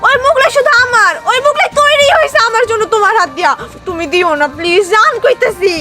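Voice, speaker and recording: Squeaky possessive young woman, pleading and bickering, Bangladeshi accent, cartoon, backing music. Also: quick pace. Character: possessive, boastful young woman, jealous in love. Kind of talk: colloquial Bengali comedy cartoon dialogue. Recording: music behind the voice.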